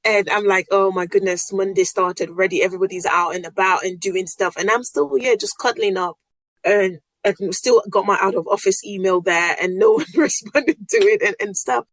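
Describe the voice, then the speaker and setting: A woman's voice: mid-range voice, moderate pace, no room sound. A woman from Nigeria with a Nigerian accent, whose first language is Yoruba, hosting a casual solo podcast in a chatty, upbeat way with frequent laughs. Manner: chatty and upbeat, laughs often, lots of fillers. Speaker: podcast host.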